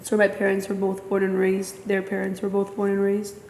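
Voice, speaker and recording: Tentative woman, flat tone, echoey room. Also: medium pitch, brisk. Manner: self-deprecating, tentative. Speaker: audience member. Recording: echoey hall, faint noise.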